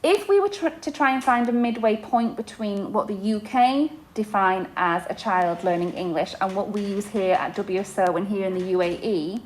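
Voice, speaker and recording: A young woman teacher talks parents through a school presentation in an easy podcast manner. She explains step by step, often pausing on fillers and restarting phrases. Her pitch sits in a middle range for a woman. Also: a little hiss or hum, poor audio. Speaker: inclusion teacher.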